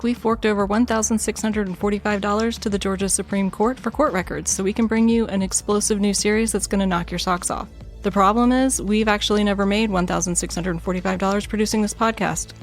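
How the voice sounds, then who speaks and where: A woman hosting a true crime podcast, reading scripted narration in a soothing, measured way. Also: medium pitch. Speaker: podcast host.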